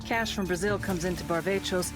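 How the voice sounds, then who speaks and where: An actress playing a tough intelligence handler in a game, a giving an assured, matter-of-fact mission briefing. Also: female voice, fairly low pitch, fairly quick, lightly acted. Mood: businesslike, tough. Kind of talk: scripted mission briefing narration. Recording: music behind the voice.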